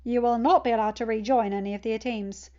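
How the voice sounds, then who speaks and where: A woman voicing a courteous, authoritative male school principal in a story reading, speaking in a formal, measured and accommodating manner. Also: a little high, fairly even intonation, mellow, natural voice. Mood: stern. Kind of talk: audiobook character voice for fan fiction. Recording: dull lo-fi home recording.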